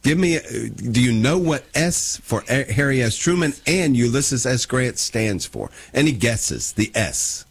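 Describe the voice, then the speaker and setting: A man's voice: mid-range voice, very animated, a little slow, hardly any pauses, slightly rough. A man hosting a casual live trivia quiz on the radio.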